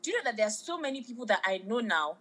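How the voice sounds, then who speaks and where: Excitable young woman co-host. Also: fairly high pitch, very fast. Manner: excitable, gushing, slangy. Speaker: podcast co-host. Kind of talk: casual chat.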